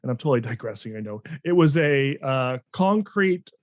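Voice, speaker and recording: A man's voice: medium pitch, expressive, normal speed, dry recording. Male podcast host, mid-range voice, loose and rambling, dry close mic. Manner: rambling, full of restarts and fillers. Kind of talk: casual conversational interview.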